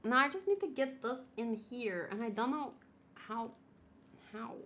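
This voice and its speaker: Bright chatty woman streamer. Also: a little high, animated, unhurried, slight background noise. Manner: chatty, giggly, reads out chat comments. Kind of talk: casual livestream chat while unboxing.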